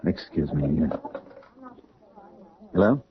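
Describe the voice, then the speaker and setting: A placating young man of radio drama, has a low voice with animated swings. He explains himself earnestly and evasively. The old broadcast sound is dull. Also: slow pace, exaggerated voice. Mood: anxious. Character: evasive, pleading young man named Corey, eager to placate. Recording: muffled old radio recording.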